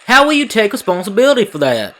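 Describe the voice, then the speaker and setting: A man's voice: sits high, wildly swooping pitch, fast talker, a bit bright, full character voice. A man voicing a challenging press reporter in an anime fan dub, with a pointed, questioning manner.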